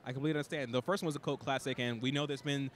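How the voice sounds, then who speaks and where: A man's voice: medium pitch, fairly even intonation, very fast. A man interviewing a guest in a casual, conversational style. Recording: noisy location with ambient background.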